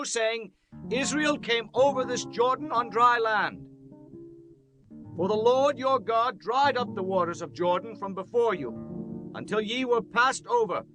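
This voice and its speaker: A man playing a commanding Israelite leader in a dramatized Bible audiobook, speaking in a solemn, declamatory way. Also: very high voice. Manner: solemn, declamatory scripture delivery. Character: Joshua, commanding Israelite leader, solemnly instructing his people.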